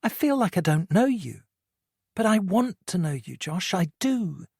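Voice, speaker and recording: A reader voicing a middle-aged mother who is worried and grieving, speaking quietly and gently as she coaxes her son. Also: on the low side, big pitch swings, unhurried, vocal fry, slightly rough, no room sound, clean studio sound, pitched above the performer's own voice, heavily acted. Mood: pleading.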